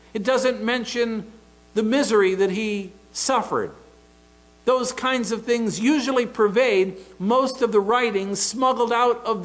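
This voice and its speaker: A middle-aged American pastor preaching at a church pulpit, his voice high for a man. He takes his time, landing each phrase slowly and deliberately, with a faint electrical hum under the pulpit mic. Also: low-quality recording. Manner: emphatic, deliberate preaching with open hand gestures. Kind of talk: sermon. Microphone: pulpit microphone.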